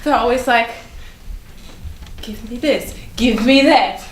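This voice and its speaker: Woman playing a haughty ancient bird, slow and high. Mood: tearful, exasperated. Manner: theatrical, grandly deliberate phrasing. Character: ancient enchanted female bird, haughty, mischievous, theatrical. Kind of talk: staged play reading. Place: echoey room at a staged reading. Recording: echoey room, noticeable background noise.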